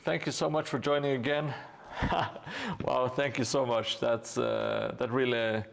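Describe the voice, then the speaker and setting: A man's voice: medium pitch, steady tone, unhurried, gravelly. A man streaming live while he paints a tabletop gaming board, talking casually with many fillers and restarts and stopping to thank his viewers.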